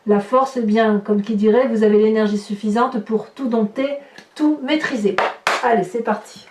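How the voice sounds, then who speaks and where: High-voiced woman in an echoey room. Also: normal speed, noisy background. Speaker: tarot reader hosting a horoscope channel. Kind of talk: informal tarot reading narrated to viewers. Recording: roomy echo, distant microphone.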